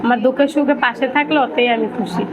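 A woman's voice: high-pitched, fairly even intonation, brisk. A young woman with a Bangladeshi accent giving plain, colloquial answers in a casual on-camera interview. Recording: dull lo-fi audio with loud background noise.

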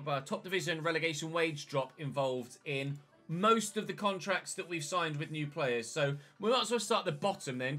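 A man's voice: fairly high pitch, bright, forceful tone. A young man, casually talking through a football management game while browsing menus, recorded on a headset in a small home room. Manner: chatty, thinks aloud while clicking through menus. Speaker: gaming YouTuber. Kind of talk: casual let's-play commentary.

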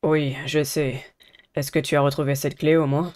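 A woman voicing an earnest, worried teenage schoolboy in a visual novel, in a measured, serious manner. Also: low-pitched, level tone, darkish tone, voice pushed down, natural voice.

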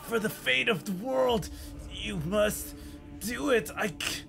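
A man playing an anguished, self-sacrificing hero who fights demonic possession, pleading in a strained, halting way. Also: high voice, creaky, sharp tone, soft background music, exaggerated voice. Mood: pained pleading. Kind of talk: dramatic voice acting practice monologue.

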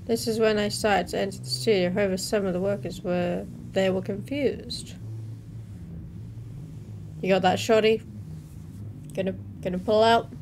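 Young woman, mid-pitched voice, casual reaction host, informal interjections, reads captions aloud, faint background noise. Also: steady tone, moderate pace, pauses often, a little mellow. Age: young adult. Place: small room at home, indoors.

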